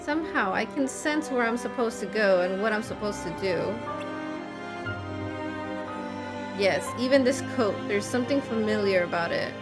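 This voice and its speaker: A woman voicing a brooding, disillusioned young man from a game, in grave, measured, formal phrasing. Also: medium pitch, expressive, over loud music, close to an everyday voice. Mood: pensive. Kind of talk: reading game cutscene dialogue aloud.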